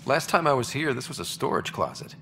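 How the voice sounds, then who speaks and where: A level-headed young explorer hero in a sci-fi game. His delivery is plain and earnest with lively movement in pitch. There is hall reverb and faint ambience around him. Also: fairly quick, creaky, slight background noise, exaggerated voice. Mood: casual.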